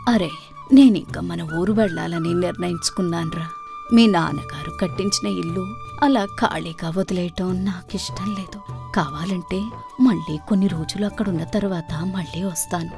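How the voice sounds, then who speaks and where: A woman voicing a gentle, trusting elderly village mother in a storybook tale, speaking in a soft, measured, motherly way. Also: lowish voice, big pitch swings, creaky, over music.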